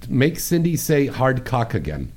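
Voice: mid-range voice, big pitch swings, a little slow, darkish tone. A radio host who runs a loose, casual chat and teases the guest in a playful way. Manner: teasing, playful interviewer.

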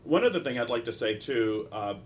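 A man with a stopped-up nose hosting a solo podcast in an informal, halting and discursive way, often drifting into asides. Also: medium pitch, animated, slight background noise. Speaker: podcast host, mental health practitioner.

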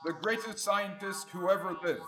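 A man's voice: sits high, normal speed, music in the background, lightly acted. A young man playing an eager, adventurous space hero in old-time radio serial style, earnest and full of gee-whiz enthusiasm. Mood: earnest, proud. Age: young adult. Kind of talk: 1930s radio serial acting.

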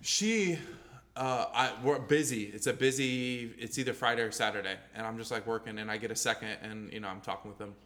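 A man's voice: mid-range voice, a little fast, a bit bright. Casual rambling young podcast co-host, a man. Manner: rambling, swears often, laughs easily. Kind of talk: casual chat.

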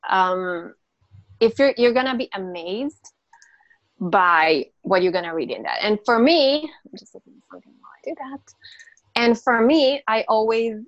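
A woman's voice: a little high, animated, a little slow, no echo, highs cut off. A woman whose first language is not English, explaining nutrition as a health coach, emphatic and repeating herself with frequent restarts and fillers. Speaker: natural health coach, podcast guest. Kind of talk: casual interview explanation.

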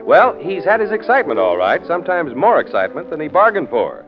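A man's voice: fairly high pitch, sing-song, a little fast. A man with an American accent announcing a 1940s radio show in period style. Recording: dull 1940s radio recording. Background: music.

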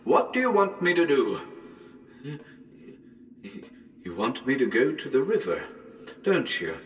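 A man playing a radio broadcaster unravelling into madness in a haunted house, halting and muttering, then breaking into exclamations. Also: mid-range voice, huge pitch swings, husky, voice pushed up. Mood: hysterical, mad. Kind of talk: dramatic reading of a live radio broadcast.

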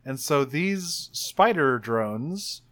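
A man's voice: medium pitch, animated, extremely slow, a bit bright. A man leading a tabletop roleplaying game in casual talk, thinking aloud as he goes. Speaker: player and game leader in an actual play podcast.

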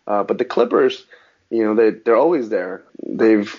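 A man's voice: lowish voice, unhurried, creaky, slightly rough. A man who grew up speaking Mandarin, a guest on a casual interview podcast, rambling with lots of restarts. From Southern California. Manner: rambling, frequent restarts, animated on sports. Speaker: guest, actor and musician. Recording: dull lo-fi podcast audio.

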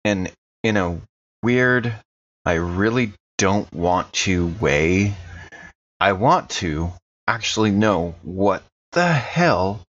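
Low-voiced man, slightly dull recording. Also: animated, slow, fairly bright tone. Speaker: player in a tabletop roleplaying audio drama. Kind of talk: casual in-character talk and game chat.

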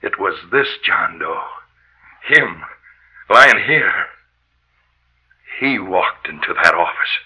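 A haunted morgue worker talks with husky, brooding intensity, as if obsessed. His low voice halts in vintage radio drama style. The old recording is dull and lo-fi. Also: lively intonation, slow, long pauses, exaggerated voice. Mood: haunted, hushed. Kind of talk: 1940s radio drama acting.

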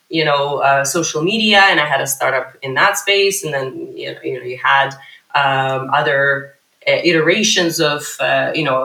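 A woman's voice: sits low, pushed and bright. A woman in her thirties, a startup CEO guest on a podcast, telling her story in long rambling sentences full of fillers and restarts. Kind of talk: casual podcast interview. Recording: echoey room.

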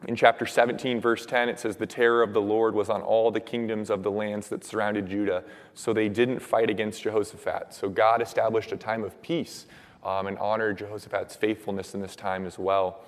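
A young man with a slightly low voice, hoarse and raspy after days of shouting, leads a sermon and prayer. He talks in an earnest, casual way, full of "uh" and "um". His words ring out with the long echo of a church hall. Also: level tone, a little fast. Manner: casual, filler-heavy, earnest. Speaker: preacher.

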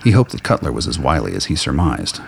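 Male narrator for radio drama, very low register, scripted storytelling, faint noise behind. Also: wildly swooping pitch, fast, gravelly.

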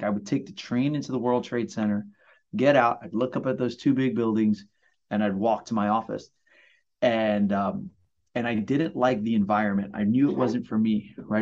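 A man in his forties, born in Puerto Rico and raised in Brooklyn, chatting as a mortgage loan officer and telling animated stories with many fillers. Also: fairly low pitch, dull audio. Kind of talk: casual storytelling interview.

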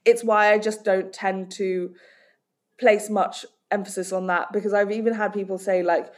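A woman's voice: medium pitch, steady tone, normal speed. A woman from Hong Kong, working as a life coach and author, giving direct and emphatic answers in a podcast interview.

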